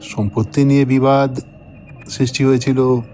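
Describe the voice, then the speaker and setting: A man narrating a scripted horror story in the first person, in a measured, dramatic manner. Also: medium pitch, flat delivery, mellow.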